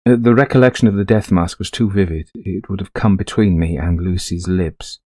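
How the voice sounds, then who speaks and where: An actor playing a middle-aged, greying widower in a ghost story, confiding an eerie haunting to a friend in genteel, old-fashioned phrasing. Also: male voice, deep voice, fairly quick, warm tone, no echo, clean studio sound. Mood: uneasy, wry. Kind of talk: dramatised first-person ghost story narration.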